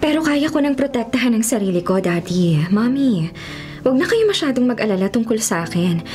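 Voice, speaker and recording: A woman's voice: on the high side, very animated, fairly quick, darkish tone. A woman playing a headstrong, lovelorn young mayor's daughter in a Filipino radio drama, earnest and emotive. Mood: earnest, reassuring. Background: music.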